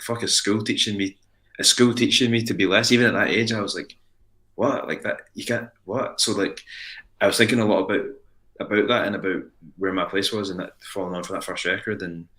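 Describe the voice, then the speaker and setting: Scottish male musician guest, deep voice, casual and rambling. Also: fairly even intonation, fairly quick, sharp tone. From Scotland. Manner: rambling, frequent restarts and "like" fillers. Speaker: band frontman guest. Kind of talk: casual interview chat.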